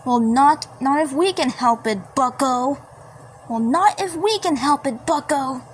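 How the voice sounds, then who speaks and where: A woman plays an indignant young cartoon heroine, high-pitched and confrontational. She stumbles and repeats her demands at a slow pace, protective of her sister, in an amateur fandub. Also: a little hiss or hum. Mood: defiant anger. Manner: stammering, repetitive, confrontational.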